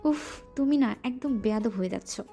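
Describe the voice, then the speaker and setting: A woman playing a playful, affectionate young wife in a romantic audio drama, teasing and pouting, with soft music underneath. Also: on the high side, a little fast, gravelly, muffled recording.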